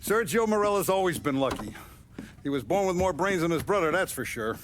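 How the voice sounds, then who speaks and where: A man's voice: high-pitched, very animated, brisk, slight background noise. A man playing a ruthless middle-aged mafia boss in a video game cutscene, speaking with authority and menace. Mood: stern, displeased. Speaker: crime boss.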